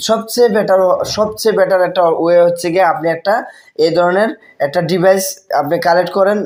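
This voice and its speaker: A man with a Bangladeshi accent hosting a casual tech tutorial, repeating phrases and mixing in English words. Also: high-pitched. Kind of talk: casual spoken screen-recording tutorial.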